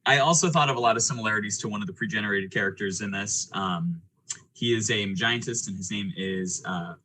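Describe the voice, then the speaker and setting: A man's voice: lowish voice, fairly quick, pushed and bright. A man talking casually and explaining things as game master of a tabletop game show. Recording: clearly noisy background with clicks.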